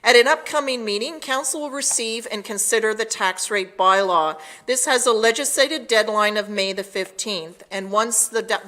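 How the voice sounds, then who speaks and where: A woman delivering a prepared public address as town mayor, measured and formal. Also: medium pitch, bright, forceful tone.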